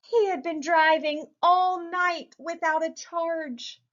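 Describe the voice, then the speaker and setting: Female story reader for young children: high voice, slow pace, expressive emphasis. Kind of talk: children's picture book read-aloud. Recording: muffled lo-fi recording.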